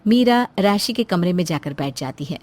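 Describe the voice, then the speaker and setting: A woman's voice: on the low side, expressive, moderate pace, darkish tone. A woman narrating a scripted family moral story as its storyteller.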